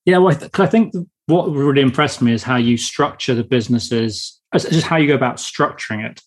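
Friendly podcast host, husky. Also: male voice, medium pitch. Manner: friendly, halting questions with restarts. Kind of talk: casual interview hosting.

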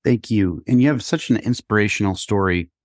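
A man's voice: fairly low pitch, fairly quick, no echo, clean studio sound. A man hosting a business podcast, reading guest introductions and asking questions in an upbeat, friendly manner. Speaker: podcast host. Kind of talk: interview hosting.

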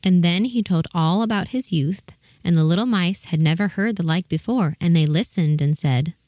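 A woman's voice: fairly low pitch, normal speed, mellow, no echo. A woman giving scripted narration of a children's storybook tale.

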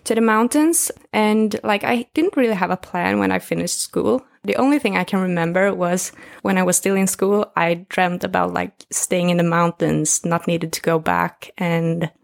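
A woman in her twenties from northern Sweden, speaking English with a Swedish accent in a relaxed, chatty interview. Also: fairly low pitch, moderate pace, gravelly, hardly any pauses. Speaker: guest. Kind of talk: casual interview talk.

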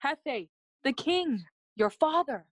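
A woman's voice: high voice, lively intonation, takes it slowly, no room sound, studio quality. A woman playing a loyal, earnest young friend of a prince in a bilingual Shakespearean audio play, speaking formally. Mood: hesitant, earnest. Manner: earnest, formal, clearly declaimed.

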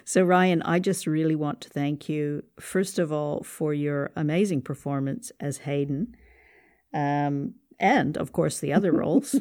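A man interviewing a guest casually on a podcast, with halting, hesitant phrasing. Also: on the high side, a little mellow.

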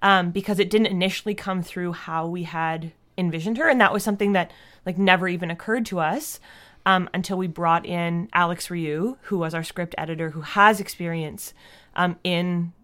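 A woman answering interview questions as a guest in a casual, thoughtful and halting way, often saying "like". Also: on the low side, fairly even intonation, a bit bright. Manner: thoughtful, halting, frequent "like" as a verbal habit. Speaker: podcast creator and writer, interview guest.

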